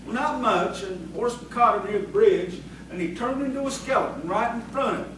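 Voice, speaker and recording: A man's voice: high-pitched, fairly quick, fairly bright tone. A man in an audio theatre play acting a folksy village blacksmith with a rustic storyteller's way of talking. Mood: gripping storytelling. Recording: echoey room, distant mic, clear noise.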